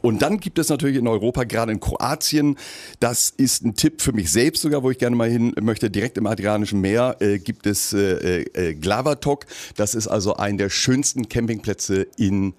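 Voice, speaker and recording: A relaxed man talking as a guest on a studio chat show. His voice is on the lower side and recorded close and dry. He chats loosely and pauses often to hunt for words. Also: no room sound. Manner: relaxed, chatty, frequent hesitations. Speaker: guest expert. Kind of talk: casual on-air chat.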